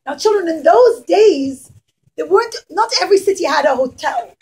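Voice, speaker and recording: Woman, high-pitched voice, children's storyteller, expressive, explanatory, interactive teaching. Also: moderate pace.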